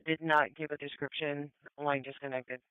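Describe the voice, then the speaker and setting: A male police dispatcher speaks in terse radio calls. His voice is on the higher side and clear, squeezed through a narrow, tinny two-way radio channel with no room sound. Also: flat delivery, moderate pace.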